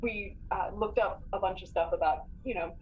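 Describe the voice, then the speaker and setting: A woman chatting casually as a player in a tabletop game, upbeat and expressive. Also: medium pitch, moderate pace, muffled recording, a little hiss or hum.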